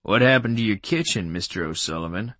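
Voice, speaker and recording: Man playing a skeptical husky detective. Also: fairly low pitch, expressive, a little fast, fairly bright tone, no room sound. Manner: formal, incredulous questioning. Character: skeptical, frustrated male police detective.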